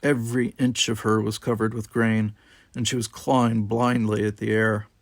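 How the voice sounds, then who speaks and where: A man's voice: on the low side, level tone, moderate pace, no room sound. A man reading an adventure novel aloud as dramatic, scripted narration. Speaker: audiobook reader.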